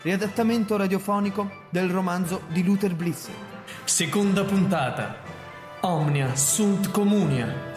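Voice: mid-range voice, close to an everyday voice. An announcer reading a scripted programme credit and appeal. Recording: music behind the voice.

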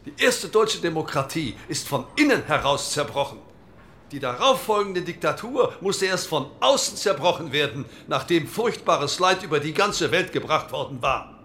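An actor playing an earnest, combative conservative parliamentarian, speaking formally and emphatically in a dramatized debate. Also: male voice, fairly high pitch, sing-song, moderate pace, bright, forceful tone, a little hiss or hum, heavily acted. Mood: earnest, insistent.

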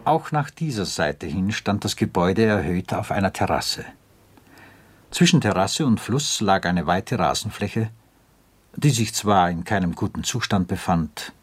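A man narrating a radio drama in a measured, literary storytelling style. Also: low-pitched, animated, breathy edge.

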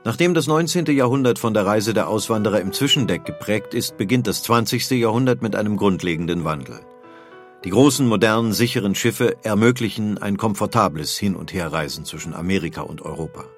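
A man's voice: on the low side, brisk, faint music behind. A man reading scripted narration for an audio history documentary.

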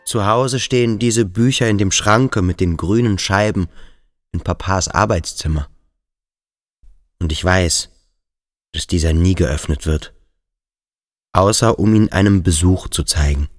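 A pensive male student at a military academy, thinking aloud in a radio play. The voice is very deep, measured and introspective, with faint music underneath. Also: no room sound, studio quality. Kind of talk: radio play inner monologue.

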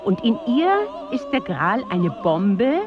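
Animated, declamatory political gossip. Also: female voice, medium pitch, takes it slowly, dull audio, music in the background. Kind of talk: literary radio drama acting.

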